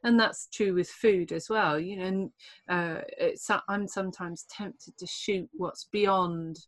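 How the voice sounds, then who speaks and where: A woman's voice: on the low side, steady tone, slow. A middle-aged woman hosting a casual podcast chat, thoughtful and easy to laugh, often restarting her sentences. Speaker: podcast host. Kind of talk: casual conversation.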